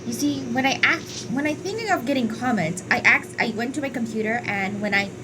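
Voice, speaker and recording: A woman giving casual playthrough commentary as a gaming channel host, halting and repeating her phrases. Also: fairly high pitch, lively intonation, noisy background. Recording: game music behind the voice. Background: game music.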